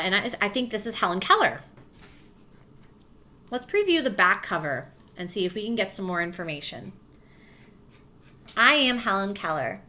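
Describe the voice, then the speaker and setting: A woman teacher reads a picture book aloud to her class and stops often to explain what they are reading. Her voice is bright and clear, and she keeps checking that the children follow along. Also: mid-range voice. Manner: warm encouraging teacher voice, pausing to explain.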